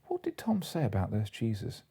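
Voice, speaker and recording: Guy doing a proper indignant wife in an audiobook, firm and suspicious, dark husky tone. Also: fairly low pitch, huge pitch swings, normal speed, heavily acted. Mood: suspicious, querying. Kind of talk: audiobook character voice.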